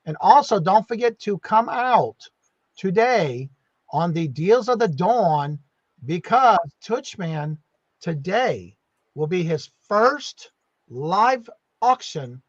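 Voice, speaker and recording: Chatty host with a raised voice. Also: male voice, high-pitched, big pitch swings, slow pace, no room sound, lo-fi sound. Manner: chatty, many fillers, southern y'all. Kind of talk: casual live-stream chat.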